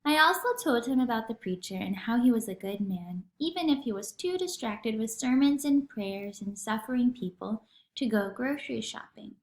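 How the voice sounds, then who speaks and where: A woman reads a children's book aloud like a teacher to her class. Her voice is high and swoops expressively through the sentences. The sound is roomy and echoey. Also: moderate pace. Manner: animated, acts out dialogue. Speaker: teacher reading aloud for a lesson.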